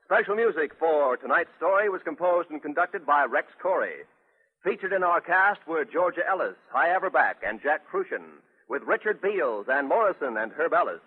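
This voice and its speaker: A man's voice: a little high, sing-song, moderate pace, husky. An American man reading scripted credits as an announcer in 1950s radio style. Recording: thin, tinny old radio recording.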